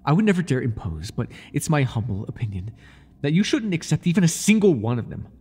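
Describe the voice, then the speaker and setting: A man playing an obsessive, jealous high priest in an ASMR roleplay, fawning over his god in grandiose, stammering, pleading speech. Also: big pitch swings, brisk, warm tone, breathy edge. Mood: disdainful, jealous. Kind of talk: ASMR roleplay monologue, dramatic acting.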